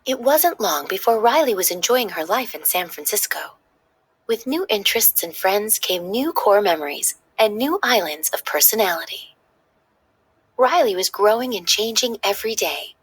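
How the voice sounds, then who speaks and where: A woman narrating a children's story in an expressive, dramatic read-aloud style. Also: medium pitch, moderate pace, breathy edge.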